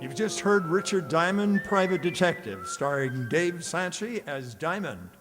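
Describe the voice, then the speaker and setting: A man's voice: on the high side, animated, a little slow, loud music behind the voice. A man announcing the cast credits of a radio play to a live audience. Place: reverberant hall before a live audience. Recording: noisy live hall with audience.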